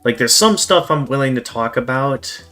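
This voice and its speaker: A man's voice: mid-range voice, animated, sharp tone, faint music behind. Easygoing man hosting a game stream. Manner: measured, lots of "like" and "again". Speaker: streamer host. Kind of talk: casual livestream chat.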